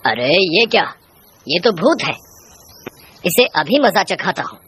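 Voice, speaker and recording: A woman's voice: fairly low pitch, big pitch swings, a little slow, fairly bright tone, a little hiss or hum. A woman voicing a shrewd, commanding male village sorcerer in a horror tale, with declamatory delivery. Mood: astonished.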